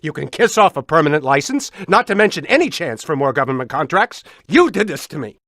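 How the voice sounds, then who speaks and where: High-pitched, blustering male bureaucrat. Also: very animated, a little fast, a bit bright, no room sound, studio quality, full character voice. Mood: furious, exasperated. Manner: indignant, blustering, emphatic. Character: pompous, vindictive male city bureaucrat, outraged and threatening. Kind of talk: video game voice acting.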